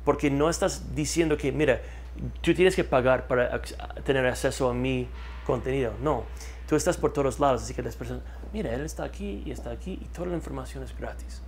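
A man's voice: medium pitch, brisk, slight background noise. A man, talking in an interview as a marketing consultant, repeating key words for emphasis, on a lapel mic in a studio. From United States. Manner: repeats words for emphasis, animated hand gestures. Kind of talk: energetic interview talk. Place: studio with map backdrop.

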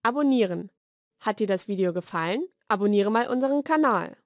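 A woman's voice: medium pitch, animated, no room sound. Woman narrating vocabulary, very dry recording. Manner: measured, deliberate enunciation. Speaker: language lesson narrator. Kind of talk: scripted vocabulary narration, single words then example sentences. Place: dry studio voice-over recording.

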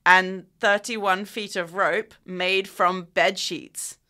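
A woman hosting a casual film podcast in a small radio studio, telling stories in a chatty, excitable way. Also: mid-range voice, takes it slowly. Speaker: podcast host.

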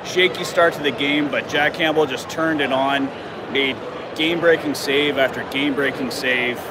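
Young man amid loud noise. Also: mid-range voice, fairly even intonation, normal speed. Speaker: sports fan commentator. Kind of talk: casual post-game sports commentary. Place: busy arena entrance concourse at night. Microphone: phone held by companion, slightly off. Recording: very loud urban crowd and traffic noise.

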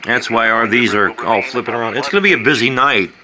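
A man talks casually and bluntly, as if half to himself, like a hobbyist at his gear. His pitch is average, and a radio voice chatters clearly behind him. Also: fast. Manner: muttering to himself, blunt, salty. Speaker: radio hobbyist narrating his scanner session. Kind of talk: casual off-the-cuff commentary. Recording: handheld camera with loud radio chatter. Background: weather radio broadcast playing from scanner speaker.

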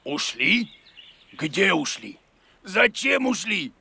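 A man's voice: high voice, very animated, slow pace, creaky, bright, forceful tone, slight background noise, exaggerated voice. A man voicing a grumpy, bumbling bearded creature who teaches children in a cartoon, in a blustery, muttering manner. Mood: distressed.

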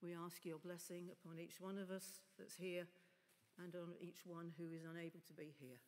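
Woman, husky from a cough, middle-aged, lay preacher, chatty asides, echoing church. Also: lowish voice, little pitch movement, moderate pace, darkish tone. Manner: chatty, informal asides to the congregation. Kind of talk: sermon with prayer. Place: large stone church, indoors. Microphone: lectern mic. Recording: long church reverb.

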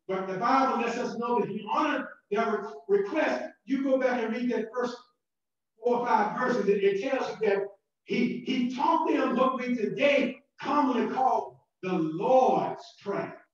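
A Southern American pastor preaches slowly, climbing into shouted emphasis and doubling his words as he builds. His voice sits high for a man. The recording is distant and muffled, and it is swamped by heavy church echo. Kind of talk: sermon. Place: church sanctuary.

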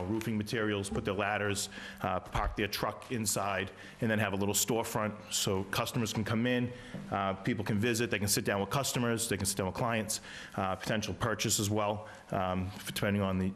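A middle-aged man, presenting site plans to a town planning board, halting often with uhs and ums, speaking into a table microphone in a meeting room. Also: on the low side, fairly bright tone, slight background noise. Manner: halting, many uhs and ums, reads plan details.

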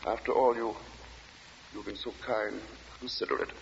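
An actor in a 1940s radio drama playing a weary, pious, eccentric gentleman lodger with a hesitant, formal and precise manner. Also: on the low side, little pitch movement, normal speed, gravelly. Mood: apologetic. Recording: echoey, muffled, hissy 1940 radio recording.

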